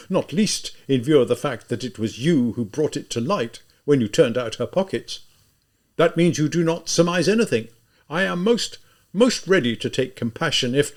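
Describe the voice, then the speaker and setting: A man reading an audiobook, voicing a pompous middle-aged gentleman who speaks in an imposing, condescending way. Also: medium pitch, close to an everyday voice.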